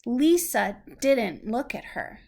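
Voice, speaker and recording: A woman reads a children's story aloud at a very slow, measured pace. Her voice sits a little high and carries noticeable creak. She phrases things simply and patiently, like someone teaching a small child. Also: big pitch swings, a little mellow. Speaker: adult reading a story aloud to a young child.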